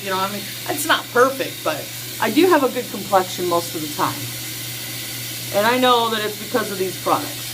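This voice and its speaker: A woman's voice: medium pitch, background noise. A woman in her early forties chatting casually through a skincare routine in a small home bathroom, folksy and full of fillers, on a close built-in camera mic. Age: 40s. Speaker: skincare vlogger. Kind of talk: casual how-to chat.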